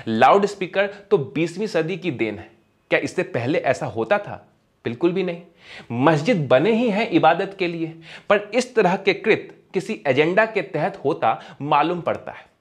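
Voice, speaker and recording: A man's voice: fairly high pitch, expressive, unhurried. A man delivering scripted opinion narration as a commentator, in an emphatic, rhetorical manner.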